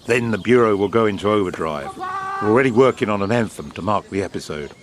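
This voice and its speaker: A man acts a polished British government propagandist in a period audio drama. His voice is low, his phrasing grand, measured and self-assured. Birdsong and background noise sit behind him. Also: lively intonation, lightly acted. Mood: proud, assured. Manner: urbane, eloquent, measured, grandiloquent. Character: suave, confident British wartime propaganda official.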